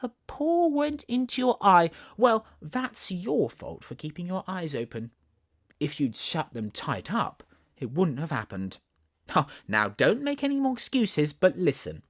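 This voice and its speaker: Man putting on a lively, mock-stern young girl. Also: high voice, unhurried, pitched above the performer's own voice. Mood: playful scolding. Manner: chattering, mock-stern, affectionate. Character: young girl, playful, mock-scolding her kitten.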